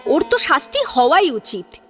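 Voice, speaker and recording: A woman's voice: high voice, sing-song, normal speed, fairly bright tone. A woman narrating a story in a dramatic audiobook style. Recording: sitar music behind the voice. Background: plucked sitar music.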